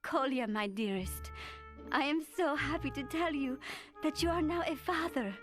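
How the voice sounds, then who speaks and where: Young Soviet wife, slightly high voice, tender, affectionate, letter voice-over for a war game, music behind. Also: female voice, moderate pace, fairly bright tone. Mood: tender, loving. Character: loving young Russian wife and new mother.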